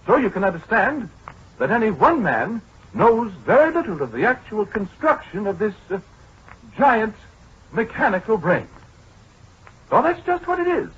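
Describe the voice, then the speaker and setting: High-pitched, husky pedantic scientist. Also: male voice, sing-song, normal speed, long pauses, a bit bright, exaggerated voice. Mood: proud lecturing. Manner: lecturing, precise, hesitant with small interjections. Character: proud, pedantic male scientist showing off a giant computer. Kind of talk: 1940s radio acting. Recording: dull, muffled 1940s radio broadcast.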